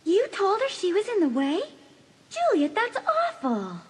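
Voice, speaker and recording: A woman's voice: very high voice, wildly swooping pitch, measured pace, darkish tone, a little hiss or hum, exaggerated voice. A woman playing an anxious, earnest teenage girl in a dramatised audiobook. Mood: reproachful, worried.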